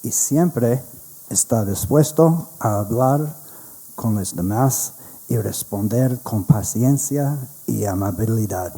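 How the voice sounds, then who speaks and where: Slow, dark-voiced American man reading. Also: fairly low pitch, slightly rough, poor audio. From United States. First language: English. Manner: slow, careful reading with some restarts. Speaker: presenter at a history conference. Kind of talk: reading a prepared introduction.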